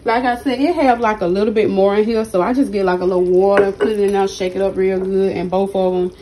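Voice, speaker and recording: A woman with a hoarse, partly lost voice, chatting casually to her viewers as she cooks in her home kitchen, recording on a handheld phone. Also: medium pitch, fairly even intonation, fast talker, mellow, a little hiss or hum, poor audio. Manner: chatty, keeps addressing viewers directly. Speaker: cooking channel host. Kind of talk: casual cooking vlog narration. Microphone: phone held in hand while cooking.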